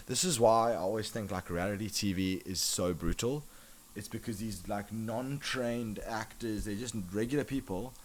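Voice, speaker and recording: A man's voice: fairly low pitch, normal speed, slight background noise. A man with a South African accent hosting a podcast in casual, swearing chat. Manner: chatty, swears casually, enthusiastic. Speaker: podcast host.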